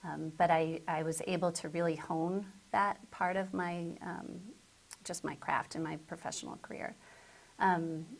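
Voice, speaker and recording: A woman's voice: on the low side, flat delivery, unhurried, creaky. A middle-aged American woman from southern Connecticut, a school principal answering interview questions conversationally. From southern Connecticut, United States.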